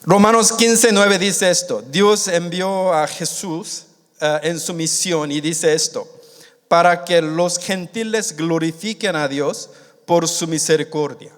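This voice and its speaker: A man born in Korea and raised in the United States, preaching a sermon in a halting way, with many restarts, and stretching out the key words. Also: on the high side. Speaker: missionary preacher. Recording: long hall reverb.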